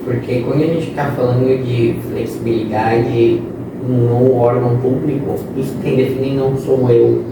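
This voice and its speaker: A man's voice: medium pitch, fairly even intonation, unhurried. A young man in his twenties, a guest on an interview show, explaining his experiences with examples in casual conversation. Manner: explains with examples and quoted phrases. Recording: echoey room, distant mic, noisy.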